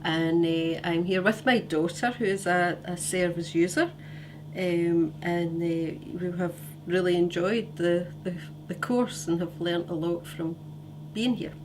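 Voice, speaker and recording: A middle-aged woman with a Scottish accent, a parent carer giving gentle, hesitant interview answers with many pauses. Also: on the low side, steady tone. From Scotland. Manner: gentle, pausing with many fillers. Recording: noisy recording with hum.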